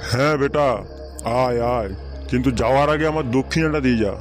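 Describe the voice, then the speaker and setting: A man's voice: medium pitch, quick pace, lightly acted. A man playing a wily, greedy holy-man guru in a comic cartoon, coaxing and repeating himself. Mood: wheedling. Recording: music under the voice.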